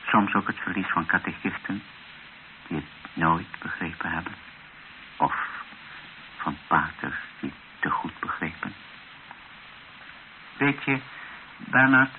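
Deep-voiced, weary missionary bishop. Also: male voice. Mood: reflective. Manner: reflective, grave, rhetorical, with deliberate pauses. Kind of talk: radio drama acting. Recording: hissy, dull old radio recording.

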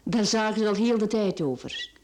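An actress with a Flemish accent playing a teasing, flirtatious middle-aged mistress in a radio drama, coaxing and sly. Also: female voice, mid-range voice, expressive, normal speed, a little mellow, lightly acted. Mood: amused, giggly.

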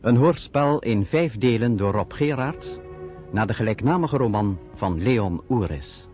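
Slow, formal male radio announcer. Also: medium pitch, lively intonation, a little mellow, faint music behind.

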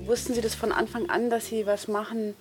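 A woman's voice: fairly high pitch, little pitch movement, a little fast, soft background music. A woman working as a reporter, talking casually into a handheld microphone in a small shop. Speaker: reporter and radio play maker. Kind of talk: casual field conversation. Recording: noisy on-location field recording.

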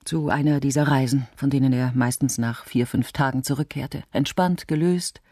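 A low-voiced, self-possessed woman. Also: fairly even intonation, normal speed, warm tone, no echo, studio quality. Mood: reflective, wry. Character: reflective, self-possessed woman looking back on her marriage. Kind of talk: radio drama dialogue and first-person narration.

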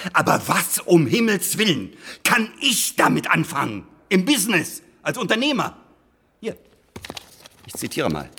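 A man's voice: medium pitch, husky. A sardonic businessman lawyer. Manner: sarcastic, rising to shouting exasperation. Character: blunt, impatient businessman lawyer, middle-aged. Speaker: lawyer and entrepreneur. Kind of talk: radio drama acting.

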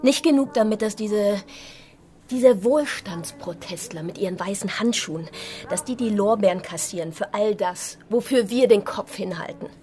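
A woman's voice: mid-range voice, normal speed, dark tone, close to an everyday voice. Surly, defiant activist waitress played by a woman. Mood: indignant. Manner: curt, combative, sarcastic. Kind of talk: naturalistic German radio drama acting.

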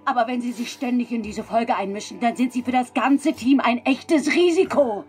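An officious woman from a TV crew in a radio drama. She is stressed and insistent, formal yet exasperated, with a high-pitched voice. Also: normal speed, darkish tone, husky. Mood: urgent, reproachful. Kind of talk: German radio drama acting.

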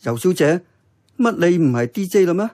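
A man acting a kindly older uncle and diner boss with a gentle, solicitous manner. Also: sits high, expressive, moderate pace. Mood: earnest. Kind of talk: radio drama acting.

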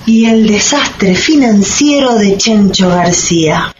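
A woman's voice: mid-range voice, expressive, slow. A woman playing the storytelling narrator of a satirical amateur radio drama. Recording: dull lo-fi recording, clear background noise.

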